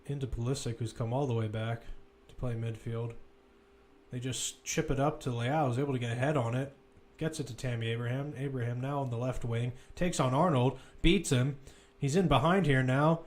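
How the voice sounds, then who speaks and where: A man's voice: mid-range voice, fairly even intonation, moderate pace. A man giving live football play-by-play commentary, describing the action as it runs, with asides and self-corrections.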